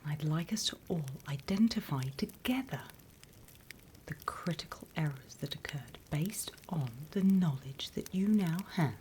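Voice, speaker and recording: A British woman playing a sly demon instructor in a whispered ASMR roleplay, unhurried, wry and smugly scornful of humans. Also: low voice, lively intonation, slight background noise. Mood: calm, instructive. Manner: unhurried, hushed, teacherly, wry.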